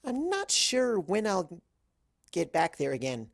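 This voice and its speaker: A man's voice: sits high, wildly swooping pitch, slow pace, gravelly, pitched above the performer's own voice, exaggerated voice. A man voicing an earnest, bookish young female pony who is easily flustered and emphatic, in a story reading. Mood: friendly.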